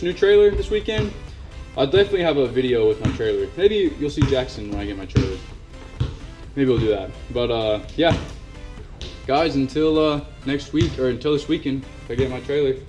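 A young man running a lawn care business, chatting casually to the camera outdoors with lots of fillers. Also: mid-range voice. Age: young adult. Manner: chatty and upbeat, many fillers and "guys". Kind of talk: casual vlog talk to camera. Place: outdoors by a house and garage, earlier in a truck. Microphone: action camera held close.

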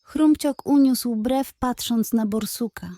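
A woman's voice: fairly high pitch, slow pace, dark tone, no echo, studio quality. A woman narrating a scripted children's bedtime story as the storyteller.

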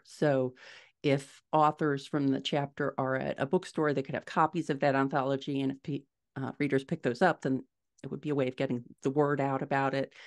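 A middle-aged American woman chatting as a guest author on a podcast, thinking aloud as she explains. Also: rumbling low. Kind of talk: casual podcast interview. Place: home room, indoors. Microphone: video call, desktop mic.

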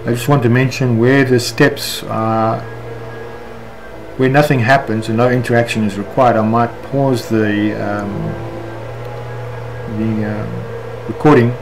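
A man with a South African accent talks through a software screencast step by step, unscripted and halting. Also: mid-range voice, steady tone, music in the background, rough recording. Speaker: software tutorial instructor. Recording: clear background noise, room ambience.

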